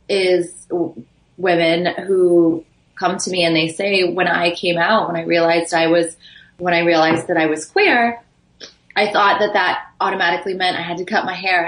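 Woman, 30s, panel guest, earnest and thoughtful, lower voice, echoey room. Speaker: fashion blogger. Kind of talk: casual panel discussion. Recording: roomy echo.

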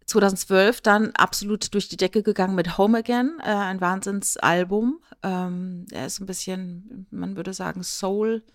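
A woman's voice: mid-range voice, fairly even intonation, a little slow, hardly any pauses, no room sound, studio quality. A woman co-hosting a podcast, talking in a casual, conversational style. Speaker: podcast co-host.

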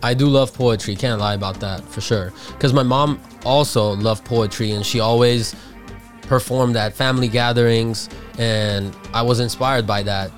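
A man's voice: medium pitch, moderate pace, soft background music. A man from Armenia, a guest recording artist, talking casually in a radio interview. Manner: relaxed, casual slang. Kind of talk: conversational interview.

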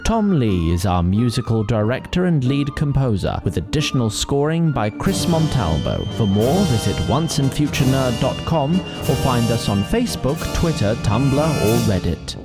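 Lively scripted narrator over clear music. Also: mid-range voice, normal speed. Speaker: narrator and credits announcer. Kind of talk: scripted narration and credits reading. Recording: music under the voice.

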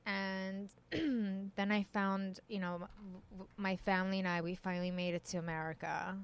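A woman's voice: mid-range voice, fairly even intonation, moderate pace, smooth. A woman from Iran, in her thirties or forties, with a slight hard-to-place foreign accent, chatting informally as an interview guest. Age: 30s or 40s. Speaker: podcast guest. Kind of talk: casual conversational interview.

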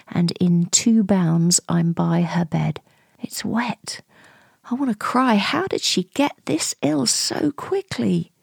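Woman in her 40s, radio play monologue, creaky, breathy, unhurried, hushed, confiding. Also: on the low side, no room sound, clean studio sound. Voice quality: breathy, husky. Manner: short clipped phrases, hushed and confiding. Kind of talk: intimate first-person dramatic monologue, present tense.

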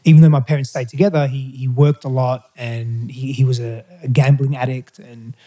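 Mellow man, candid and hesitant. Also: mid-range voice, flat delivery, moderate pace. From Australia. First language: Greek. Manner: candid, hesitant, with restarts and fillers. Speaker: interview guest. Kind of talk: reflective personal storytelling.

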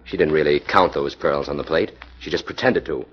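An actor playing a shrewd, self-assured detective in a confident, matter-of-fact way, in a 1940s radio drama. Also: male voice, extremely low pitch, fairly quick. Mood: explaining. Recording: dull old radio broadcast with music.

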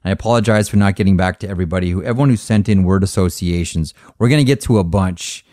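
A man's voice: low-pitched, dark tone, no room sound, studio quality. A man with a nasal voice, co-hosting a podcast, chatting upbeat and wrapping up the episode. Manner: upbeat, chatty, quick sign-off patter. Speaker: podcast co-host. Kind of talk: casual chat.

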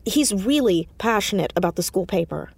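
A woman playing an anxious, earnest high school girl in an audio drama, hesitant but insistent as she talks. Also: animated, moderate pace, creaky, husky. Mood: thoughtful. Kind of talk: naturalistic audio drama acting.

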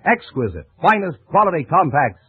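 A man's voice: a little high, big pitch swings, moderate pace. A man announcing in polished, emphatic mid-century radio style, reading scripted copy. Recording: tinny old radio broadcast.

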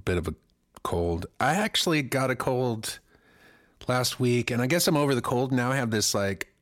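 A man's voice: medium pitch, animated, normal speed, a bit bright, no room sound, studio quality. A male podcast co-host and singer getting over a cold, joking crudely in a rambling, casual way.

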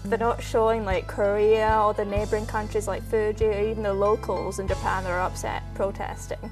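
A woman's voice: medium pitch, little pitch movement, brisk, over music, low-quality recording. A woman in her twenties from Scotland, a student guest, talking casually with lots of fillers.

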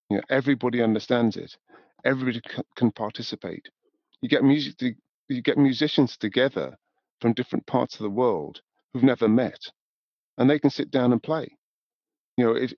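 A man, talking thoughtfully and in a measured way as an interview guest. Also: mid-range voice, no room sound, lo-fi sound. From north of England, born in Newcastle. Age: 70s. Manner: thoughtful, measured, with repeated "you know". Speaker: podcast guest, music industry professional. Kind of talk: reflective interview conversation.

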